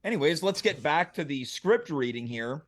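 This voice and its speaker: A man's voice: on the high side, animated, moderate pace. A man hosting a table read, moving between scripted narration and casual banter.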